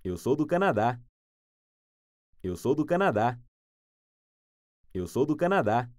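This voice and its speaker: Slow, deliberate man. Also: mid-range voice, big pitch swings, no echo, studio quality. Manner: repeats each phrase three times, clearly and deliberately. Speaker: language teacher. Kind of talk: slow phrase repetition for learners.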